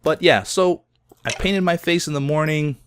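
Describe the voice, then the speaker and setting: A man in his thirties, somewhat hoarse, telling chatty, self-deprecating stories while he plays a game. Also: on the high side, normal speed, no echo. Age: 30s. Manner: chatty storytelling, self-deprecating, laughs often. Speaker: gaming video host. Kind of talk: casual gameplay commentary.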